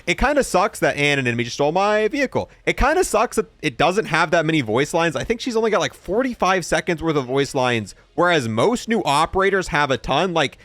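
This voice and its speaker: A man's voice: a little high, sing-song, fairly quick, few pauses, fairly bright tone, no room sound. A young man giving casual, informal gameplay showcase commentary as a video host, in a matter-of-fact way.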